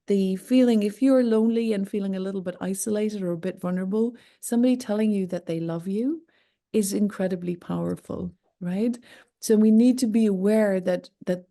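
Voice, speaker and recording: Irish woman, interview guest, warm and mellow, emphatic delivery. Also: medium pitch. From Ireland. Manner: emphatic, repeats words for stress. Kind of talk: earnest interview answers.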